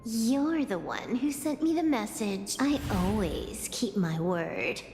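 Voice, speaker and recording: A woman voicing a cool, sassy, impatient young hacker in a video game, with a dismissive deadpan manner. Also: mid-range voice, takes it slowly, slight background noise. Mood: irritated. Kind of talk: scripted video game acting.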